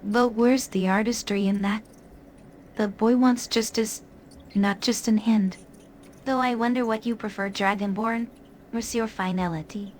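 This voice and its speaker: Woman as sly seductive ancient dark creature, noisy ambience. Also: fairly high pitch, steady tone, a little slow, close to an everyday voice. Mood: coolly persuasive. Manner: teasing, sly, elegantly theatrical. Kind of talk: in-character game companion dialogue.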